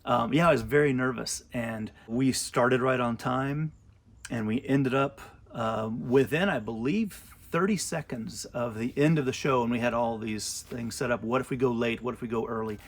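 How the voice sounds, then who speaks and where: Male interviewee, medium pitch, hesitant and casual while remembering. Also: normal speed.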